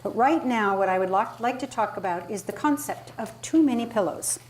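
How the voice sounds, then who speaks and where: A woman's voice: a little high, brisk, a little mellow. A woman leading an interactive workshop as a public speaking coach, talking emphatically and conversationally and putting questions to her audience. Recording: live room recording with clear background noise.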